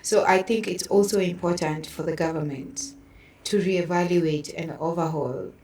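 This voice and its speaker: A woman's voice: on the low side, moderate pace, a little mellow, a little hiss or hum. A woman with a Kenyan accent talking reflectively in a conversational panel discussion, explaining with personal examples. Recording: roomy echo, distant from mic.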